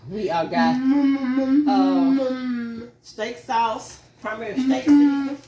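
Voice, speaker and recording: A woman's voice: high-pitched, takes it slowly, mellow. A middle-aged woman chatting casually to her viewers as she drives and then shows her groceries, rambling from one thing to the next. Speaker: vlogger. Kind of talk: casual vlog chat. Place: in a car, then at a kitchen table. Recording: noisy car recording.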